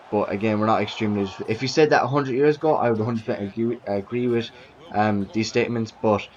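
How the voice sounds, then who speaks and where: Rambling Irish man, low voice. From Ireland. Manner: rambling, repeats himself, frequent restarts and fillers. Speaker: vlogger. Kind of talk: casual talk to camera. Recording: dull lo-fi sound.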